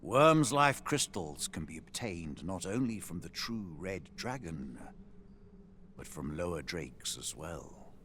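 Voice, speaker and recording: An actor playing a weary, solemn former hero in a fantasy video game, with grave, archaic, measured delivery. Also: male voice, low-pitched, sing-song, a bit bright, a little hiss or hum, full character voice. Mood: grave, resigned. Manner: grave, archaic, measured storytelling with sighing line endings. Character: weary, solemn former hero, husk of a fallen chosen one.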